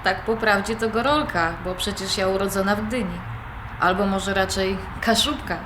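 An actress playing a polite, caring young adult daughter in a radio drama, affectionate and natural. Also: female voice, mid-range voice, normal speed, close to an everyday voice. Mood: fond, teasing. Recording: clearly noisy background.

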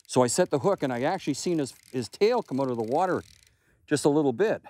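A man's voice: fairly high pitch, fast, dry recording. An older man hosting a fishing show from a small boat on a lake, talking and exclaiming as he fights fish. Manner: excitable, laughing, exclaiming. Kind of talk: on-the-water fishing commentary.

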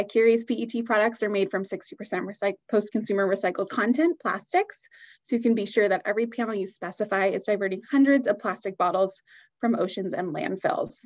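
A woman's voice: mid-range voice, dull audio. A woman giving an upbeat, enthusiastic product presentation on a video call.

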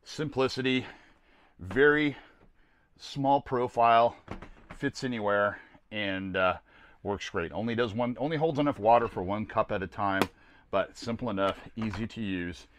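Casting: older American male vlog host, deepish voice, rambling everyday delivery, very little room sound. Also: measured pace, no echo. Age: older, around 60s. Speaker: host of a camping gear video series. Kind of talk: casual product review to camera. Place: small camper trailer interior.

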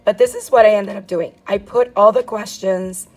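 A hoarse woman in her forties talks to her phone at arm's length from a classroom. Her voice is strained and rough, and her delivery is chatty and easygoing, a teacher catching viewers up on her day. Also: medium pitch, fairly even intonation, moderate pace, darkish tone, poor audio. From South Florida. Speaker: fourth grade teacher. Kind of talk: casual vlog talk to camera. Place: classroom and car, indoors. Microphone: phone held at arm's length.

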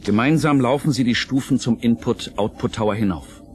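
A man narrating an audio play in a scripted, dramatic style, measured and suspenseful. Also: medium pitch, a little hiss or hum. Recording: narration over clear music.

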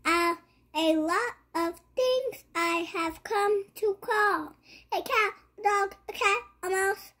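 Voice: very high voice, slow pace, bright, forceful tone. A child reading a picture book aloud. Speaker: child reader.

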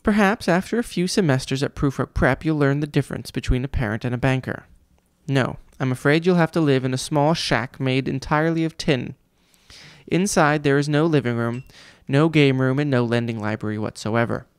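A man's voice: mid-range voice, a little fast, clean tone, no echo, studio quality, lightly acted. A man reading a storybook, voicing a pompous, cruel male vice principal who mimics people mockingly. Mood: condescending.

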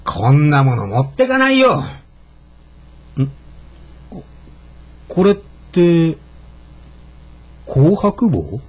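An actor playing a flustered, grumbling office worker in a naturalistic radio drama. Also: male voice, on the high side, wildly swooping pitch, very slow, pauses often, husky, heavily acted. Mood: annoyed. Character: flustered, grumbling adult male office worker, once class leader.

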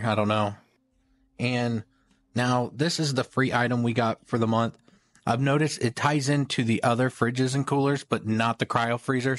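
A man's voice: medium pitch, no echo. A man hosting a gaming video, giving casual update commentary in a conversational, rambling way.

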